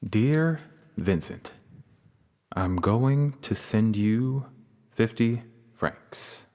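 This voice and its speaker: An actor playing a solemn, caring brother, speaking deliberately in a theatrical stage monologue. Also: male voice, fairly low pitch, animated, darkish tone, exaggerated voice. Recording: reverberant hall, stage performance.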